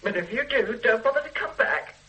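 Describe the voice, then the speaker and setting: An actress in a radio play voicing a bitter, resentful older invalid wife who speaks in a cold, cutting, controlled way. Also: female voice, on the high side, lively intonation, fairly quick, bright, forceful tone, muffled recording.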